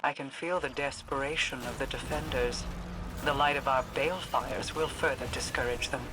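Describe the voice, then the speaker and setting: A woman's voice: extremely low pitch, fairly even intonation, moderate pace, noisy background. An actress playing a regal, mystical queen in a video game, solemn, commanding and stately in formal speech.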